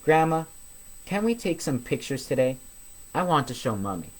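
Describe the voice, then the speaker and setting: Man voicing an eager, excitable little storybook girl. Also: on the high side, moderate pace, pitched above the performer's own voice. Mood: eager, excited. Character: young Caribbean girl, eager and proud storybook child.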